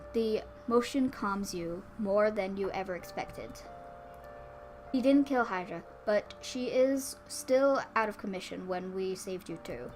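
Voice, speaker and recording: A woman reading a visual novel aloud as a let's play host, dropping in casual asides. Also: medium pitch. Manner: informal asides between read passages.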